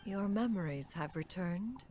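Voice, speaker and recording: A woman's voice: fairly low pitch, lively intonation, measured pace, a little mellow, slight background noise. A woman voicing a stately, aloof but caring female immortal in a video game, speaking in a formal, archaic way. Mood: solemn sympathy. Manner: archaic, formal, calls herself "one".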